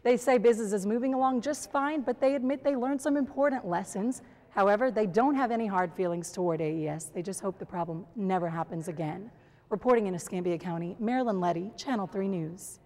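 Young female news host and voice-over, mid-pitched, scripted and presentational. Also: a little fast, a little mellow. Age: young adult. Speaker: TV reporter and host. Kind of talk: polished scripted broadcast narration.

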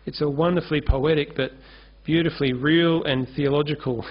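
A man's voice: fairly high pitch, fairly even intonation, brisk. A man, preaching an earnest sermon at a lectern microphone on a church stage. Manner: earnest, gestures freely while preaching at a lectern. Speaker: pastor. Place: church stage with curtain backdrop. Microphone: lectern-mounted microphone. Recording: dull lo-fi sermon recording.

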